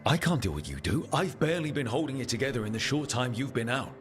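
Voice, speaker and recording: An actor playing an earnest young elven mage hero in a video game, speaking plainly with an inquiring manner. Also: male voice, medium pitch, lively intonation, fast talker, long reverb tail, soft background music, natural voice. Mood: uneasy. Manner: plain-spoken, questioning, earnest. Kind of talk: dramatic video game dialogue.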